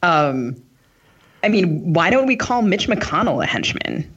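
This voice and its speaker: A woman's voice: lowish voice, sing-song, unhurried, fairly bright tone, breathy edge, muffled recording. A woman co-hosting a podcast in casual, thoughtful interview chat, with tentative, circling phrasing. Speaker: podcast co-host.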